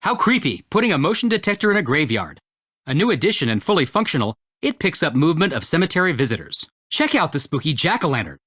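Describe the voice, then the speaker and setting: An eager, earnest amateur ghost hunter in a mystery game. The delivery swings expressively with hopeful pride, and the recording is close and dry. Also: female voice, low voice, very animated, fast talker, fairly bright tone, husky, no room sound, exaggerated voice. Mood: excitable, intrigued. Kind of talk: video game voice acting.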